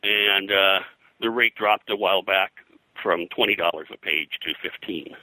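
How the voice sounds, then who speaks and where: Older American man, very low pitch, sharp forceful tone, halting reminiscence, frequent filler, dry close sound. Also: no echo, rough recording. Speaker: interview guest, veteran comics colorist. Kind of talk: rambling reminiscence in conversation.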